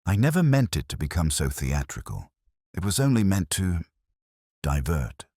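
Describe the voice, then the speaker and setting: A man voicing a composed, genteel village woman in a mystery story, speaking with quiet, restrained dignity. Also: low-pitched, big pitch swings, moderate pace, slightly rough, no echo, clean studio sound, full character voice. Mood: quiet confession.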